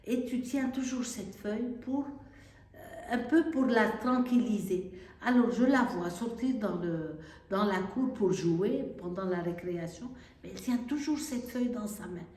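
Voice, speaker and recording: A woman's voice: mid-range voice, unhurried, darkish tone. A woman from Syria, a Carmelite nun, speaking earnestly and with feeling, sometimes searching for words. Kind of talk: interview testimony. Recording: echoey room, distant mic.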